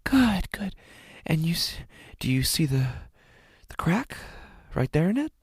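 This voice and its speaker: A man playing an anguished, sleepless, guilt-ridden father in a horror audio drama, halting and breathy, swinging between hushed and intense delivery. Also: a little high, sing-song, dry recording, clean studio sound, heavily acted. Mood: anxious, coaxing. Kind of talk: dramatic horror audio narration in first person.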